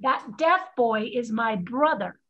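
A woman's voice: on the high side, lively intonation, takes it slowly, pushed and bright, full character voice. A woman playing a proud, guarded young person living as a boy, terse and firm in stage dialogue. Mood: guarded, defiant. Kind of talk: dramatic dialogue in a play reading. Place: indoors at home on a video call. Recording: dull video-call audio.

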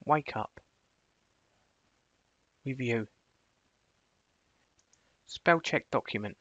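A man presenting a screencast software tutorial, switching between casual explanation and clipped spoken commands. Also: mid-range voice, drawn-out words, highs cut off, heavy background noise.

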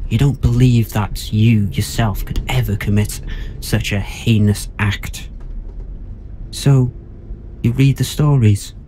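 A man acting in an audio drama as a cold, condescending murder suspect who speaks in measured, articulate phrases. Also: lowish voice, mellow, slight background noise, low-quality recording. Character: cold, menacing, condescending male murder suspect, philosophizing killer.

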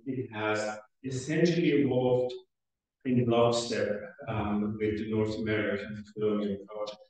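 A middle-aged man from Switzerland giving a conference welcome speech as chair, speaking haltingly with frequent hesitant pauses. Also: fairly low pitch, fairly even intonation, a little mellow. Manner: halting, hesitant, pausing often. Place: indoor lecture room at a lectern. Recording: very echoey room, far from the microphone.

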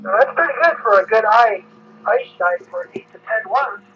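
High-pitched man over a crackly CB radio. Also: moderate pace. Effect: CB radio transmission. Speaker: CB radio operator on the air. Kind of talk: casual radio chat. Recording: dull, noisy CB radio audio.

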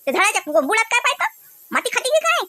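A woman's voice: extremely high pitch, big pitch swings, fast talker, bright, forceful tone, slight background noise, full character voice. A woman voicing a chatty, homely wife and mother in a cartoon, speaking. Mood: playful scolding. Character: chatty homemaker wife and mother, fond, mildly grumbling. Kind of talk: conversational cartoon dialogue.

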